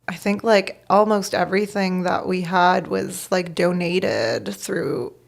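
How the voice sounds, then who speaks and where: Gravelly-voiced woman, chatty and candid. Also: lowish voice, slow. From Bosnia, raised in Canada. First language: Bosnian. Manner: chatty, frequent laughs and "like" fillers, candid. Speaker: podcast host, guest in this episode. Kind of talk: casual personal storytelling.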